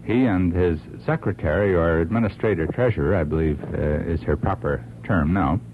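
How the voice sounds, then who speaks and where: An American man giving formal, deliberate remarks as a radio panel guest, in halting phrases. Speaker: panel guest, organization member. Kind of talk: radio interview testimonial. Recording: muffled old radio recording, faint rumbling noise.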